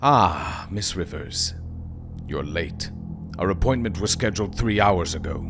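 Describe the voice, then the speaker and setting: An actor playing a corrupt, contemptuous city administrator who berates and swears. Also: male voice, deep voice, animated, measured pace. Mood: berating. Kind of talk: audio drama acting. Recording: music playing underneath.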